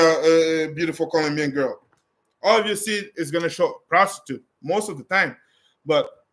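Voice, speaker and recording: A man's voice: on the high side, fairly bright tone. Man chatting casually. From Canada. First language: French. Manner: loose and conversational, with frequent restarts. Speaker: vlogger. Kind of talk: casual chat answering viewer questions.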